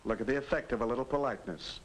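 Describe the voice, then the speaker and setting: A man's voice: mid-range voice, very fast, lightly acted. An actor playing a fatherly narrator who coaches a teenager in manners in a 1950s educational film. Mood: friendly coaching. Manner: coaching, instructive. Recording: noisy old 1950s film soundtrack.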